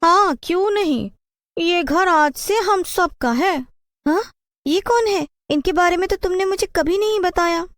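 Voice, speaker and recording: A woman's voice: very high voice, unhurried, a bit bright, dry recording, clean studio sound, pitched above the performer's own voice. A woman voicing a bossy, scheming wife with a haughty, mean manner in a cartoon story. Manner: commanding, mocking.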